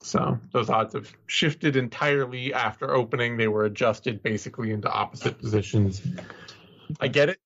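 Male podcast co-host, relaxed and self-deprecating, middle voice, muffled lo-fi recording. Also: mid-range voice, normal speed, gravelly. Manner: easygoing, rambling, self-deprecating. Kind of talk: casual analytical chat. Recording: dull lo-fi audio.

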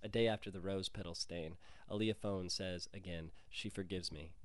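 Male author-reader, low register, scripted short fiction narration. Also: steady tone, normal speed, no echo. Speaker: author reading own short story.

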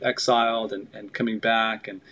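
A man's voice: on the low side, level tone, normal speed, bright, forceful tone, muffled recording. A man co-hosting a podcast, speaking in a reflective, affirming way. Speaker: podcast co-host. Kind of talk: casual conversation.

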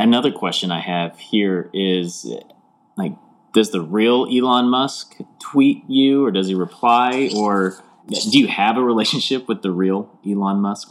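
A male host with a very deep voice, asking questions casually. His phrasing is loose and friendly, full of "like" and small restarts.

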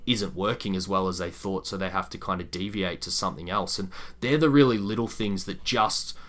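A man's voice: low voice, brisk, a bit bright. A man co-hosting a sports podcast, talking through analysis casually and at length, with explanatory asides.

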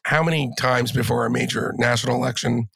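A man with a cold and a raspy voice, chatting casually as a podcast guest and rambling through restarts and fillers. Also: medium pitch, fairly even intonation, fairly quick, fairly bright tone, no echo. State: has a cold. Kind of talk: casual political chat.

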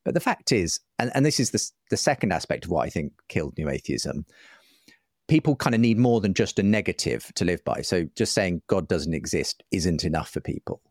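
Middle aged guy, low voice, dry sound, explaining stuff earnestly like an interview guest, pretty articulate. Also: sing-song, a little fast, dry recording. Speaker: interview guest, writer and broadcaster. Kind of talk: reflective conversational explanation.

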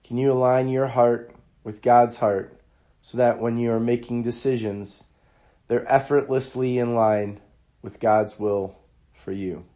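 Hoarse man reading devotional quotes. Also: medium pitch, fairly even intonation, dark tone. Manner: earnest, measured reading of quotes. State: hoarse, under the weather. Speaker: podcast host.

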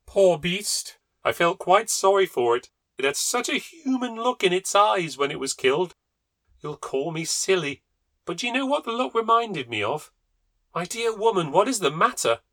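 A man's voice: sits high, big pitch swings, moderate pace, bright, forceful tone, pitched above the performer's own voice, full character voice. A man voicing a chatty upper-class neighbour woman who talks in a breezy, gushing way.

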